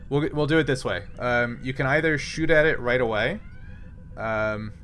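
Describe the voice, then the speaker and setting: A man with a bright, average-pitched voice runs a tabletop role-playing game. He explains things haltingly, piecing the narration together as he goes. A faint layer of noise sits behind him. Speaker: game master.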